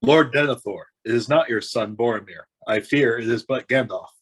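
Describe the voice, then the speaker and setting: A man's voice: mid-range voice, moderate pace, breathy edge, close to an everyday voice, poor audio. A man playing a deferential, solemn captain of the gate in a fantasy script read. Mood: solemn, cautious.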